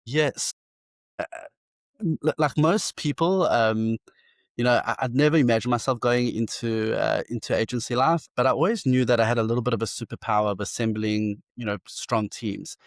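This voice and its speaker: A man's voice: medium pitch, no echo, clean studio sound. A South African man in his forties, telling stories in a casual interview with eager energy and frequent restarts. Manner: enthusiastic, fast storytelling with many restarts. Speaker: agency founder and CEO, guest.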